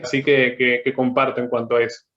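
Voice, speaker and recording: A man speaks on a panel discussion, slowly working his thoughts into words. His pitch stays flat and steady. The video call audio is dull and lo-fi. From Uruguay. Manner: thoughtful, halting, searching for words. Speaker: artist guest.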